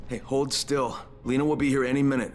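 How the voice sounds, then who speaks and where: An actor playing a steady undercover agent among zombie survivors in a video game. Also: male voice, medium pitch, brisk, faint music behind, close to an everyday voice. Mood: urgent.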